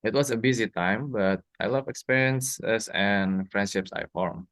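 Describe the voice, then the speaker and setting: Young male student, Indonesian accent, lowish pitch, dry close sound, prepared answers. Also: measured pace, fairly bright tone, dry recording. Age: young adult. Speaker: university student. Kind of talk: prepared question-and-answer speaking exercise.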